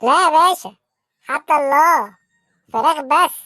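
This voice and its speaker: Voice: sits high, normal speed, pushed and bright, no echo, clean studio sound. A performer voicing a naive young street hustler in an Egyptian comedy cartoon, earnest, deferential and matter-of-fact, with an Egyptian accent. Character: naive young street hustler, respectful petty-crime suspect.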